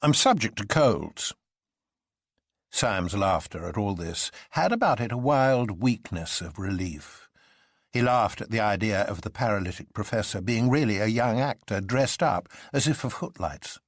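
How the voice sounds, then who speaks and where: A man's voice: fairly low pitch, expressive, normal speed, dry recording, clean studio sound. A man reading a story as narrator, in a dramatic scripted style. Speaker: narrator, audiobook reader.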